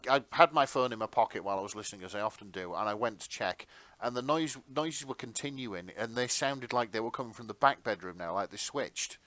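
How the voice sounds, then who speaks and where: A man talks casually as a podcast co-host, with a pitch that moves around in a lively way. He is jokey and swears lightly. The sound is dry and close. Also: fairly quick, hardly any pauses, dry recording. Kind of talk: casual chat.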